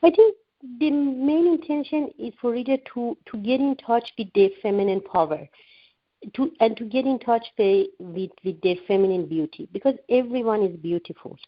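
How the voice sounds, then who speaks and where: A woman's voice: on the high side, normal speed, dry recording. Woman, 40s, from Iran, earnest radio guest, restarts a lot, dull dry phone sound. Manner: earnest, many restarts and fillers. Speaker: guest author. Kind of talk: radio interview answers. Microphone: phone line.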